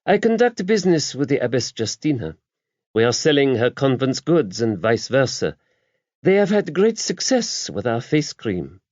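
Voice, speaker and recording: Man putting on a regal French abbess, lilting high. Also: sing-song, moderate pace, darkish tone, no echo, highs cut off, lightly acted. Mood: stately, impish. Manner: stately, formal, emphatic, opinionated. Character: regal older French abbess, poised, imperious, faintly playful.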